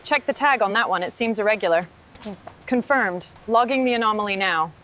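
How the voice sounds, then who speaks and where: A woman's voice: mid-range voice, fairly quick, natural voice. A woman playing a diligent factory inspector reporting checks in scripted workplace dialogue, with factory noise behind her. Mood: businesslike. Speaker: factory worker.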